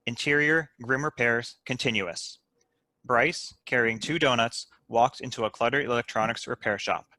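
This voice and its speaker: A man's voice: mid-range voice, moderate pace, bright, forceful tone, no room sound. A man reading stage directions as scripted narration at a comedy table read.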